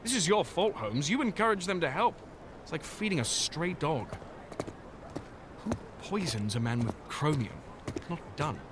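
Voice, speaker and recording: A man's voice: fairly high pitch, expressive, normal speed, long pauses, noisy background, natural voice. A man playing a stern, harried doctor. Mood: irritated. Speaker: Dr. Watson. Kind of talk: audio drama acting.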